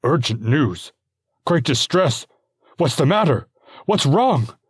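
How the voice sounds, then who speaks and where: A man's voice: mid-range voice, big pitch swings, takes it slowly, no room sound, clean studio sound, voice pushed up. A man voicing an anxious young warlord and worried father who fires off frantic, exclaiming questions. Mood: panicked.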